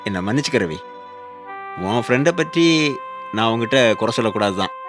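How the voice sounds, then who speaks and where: A man's voice: lowish voice, animated, a little fast, natural voice. An actor playing a frank, worldly-wise older male neighbour who scolds out of care, in a Tamil radio drama. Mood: admonishing. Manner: admonishing, rhetorical questioning. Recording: music behind the voice.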